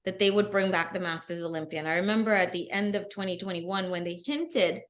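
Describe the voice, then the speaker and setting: A middle-aged woman, a guest on an interview podcast, telling her story in an earnest, animated way. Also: fairly low pitch, a little fast. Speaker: guest, bikini athlete. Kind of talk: casual interview.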